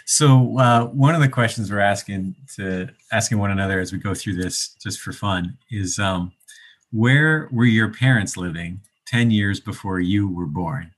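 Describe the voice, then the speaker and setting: A man who is a pastor hosting a podcast and asking a guest questions in a friendly, measured way. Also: fairly low pitch. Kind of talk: conversational podcast interview.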